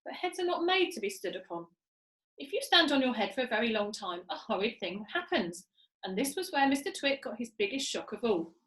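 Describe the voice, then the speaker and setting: A woman reading a children's story aloud with expressive storytelling delivery. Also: on the high side, fairly quick. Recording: echoey room.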